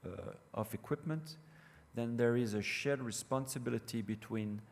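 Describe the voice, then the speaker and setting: A middle-aged man with a French accent, a non-native English speaker, giving a conference presentation in a halting way with many fillers and restarts. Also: medium pitch, fairly even intonation. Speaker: panel speaker. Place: conference hall. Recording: reverberant hall with faint noise.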